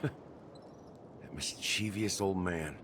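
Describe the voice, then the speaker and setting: A man's voice: low voice, extremely slow, background noise. An actor playing a world-weary middle-aged man in a video game, wry, laid-back and cynical. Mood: wry, fond. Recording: background music under voice.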